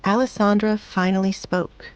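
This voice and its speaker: A woman narrating a scripted romance story in an even, measured way. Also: medium pitch, highs cut off, slight background noise.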